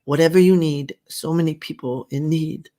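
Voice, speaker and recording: A man's voice: on the high side, steady tone, normal speed, mellow, voice pushed up, close to an everyday voice. A man voicing a housekeeper woman in her thirties, prim and formal with a mannered put-on way of speaking.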